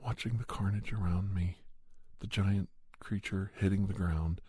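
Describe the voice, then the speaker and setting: Male ASMR narrator, low pitch, husky and breathy, solemn, measured pauses, mellow tone, close dry mic. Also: fairly even intonation, dry recording. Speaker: host and narrator of an ASMR story podcast. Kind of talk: ASMR scripted story narration in first person. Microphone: close microphone, dry sound.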